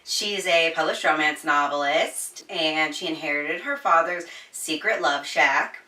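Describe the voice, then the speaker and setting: Female host, casual book talk. Bright, forward tone, informal and giggly, recorded a bit off-mic in a roomy space. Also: low voice, unhurried, far from the mic. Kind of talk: casual chatty book review. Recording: roomy echo, slightly off-mic.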